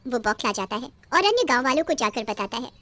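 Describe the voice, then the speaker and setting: A woman's voice: on the high side, very animated, quick pace, sharp tone. A woman narrating a scripted cartoon horror story as the storyteller.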